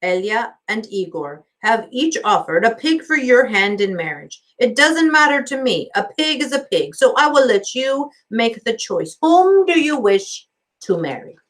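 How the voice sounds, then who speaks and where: A woman's voice: a little high, sing-song, a little slow. A woman voicing a hearty, blustering middle-aged father in a storybook reading, speaking in declarations. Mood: hearty, pompous. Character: blustering middle-aged father weighing up his daughter's suitors.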